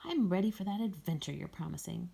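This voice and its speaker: A woman voices a proud old male tramp from a storybook. He is grumpy and haughty, with formal turns of phrase. The voice is soft-edged and mellow, with some creak in it. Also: lowish voice, expressive, fast talker, exaggerated voice. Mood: weary, resolved.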